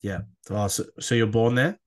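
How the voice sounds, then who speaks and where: A man hosting a podcast, soft-spoken and gentle in a casual interview. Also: lowish voice, moderate pace, no room sound, studio quality. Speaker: podcast host.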